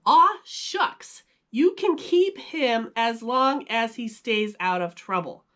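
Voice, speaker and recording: A kindly, bumbling cartoon dog father, put on by a woman reading a storybook. He talks in a drawn-out, folksy way, rising and falling animatedly, exasperated but soft at heart. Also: fairly high pitch, animated, pitched above the performer's own voice. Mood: reluctant.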